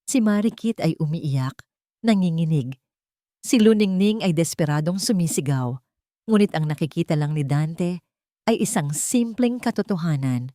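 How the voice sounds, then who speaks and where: A woman's voice: lowish voice, lively intonation, moderate pace, mellow, no room sound, studio quality. A woman storyteller reading a scripted love drama in a dramatic narration style.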